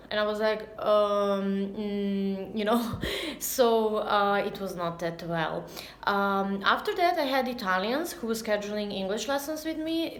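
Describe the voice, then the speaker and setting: A woman in her thirties, a native Serbian speaker with a Serbian accent, chats casually and somewhat hesitantly to camera as a language teacher, recorded on a phone at arm's length. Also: medium pitch. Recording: echoey, roomy sound.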